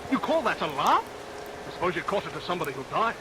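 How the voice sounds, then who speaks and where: An actor playing a blunt, easily indignant gentleman customer, declaiming in old-time radio melodrama style. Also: sits high, quick pace. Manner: emphatic, declamatory. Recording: noisy vintage radio recording, rain over it. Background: steady rain.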